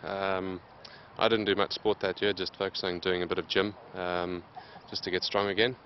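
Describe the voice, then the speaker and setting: Deep-voiced man, plain and steady. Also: highs cut off, slight background noise. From Zimbabwe. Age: late 20s. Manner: steady, plain, many "um" pauses. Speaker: interviewed athlete. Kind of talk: casual interview answers.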